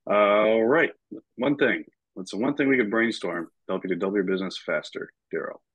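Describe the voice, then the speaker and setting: Deep, husky middle-aged male coach. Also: a little fast, no echo. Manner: encouraging. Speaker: host and business coach. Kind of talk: moderating a group coaching call. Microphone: video call. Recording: dull, muffled video-call audio.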